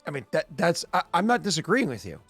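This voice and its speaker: A man's voice: on the high side, very animated, quick pace, a bit bright, dry recording, soft background music. A man in his late thirties, the game master, chatting and explaining rules at length with many restarts. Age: late 30s. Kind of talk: casual chat and game narration.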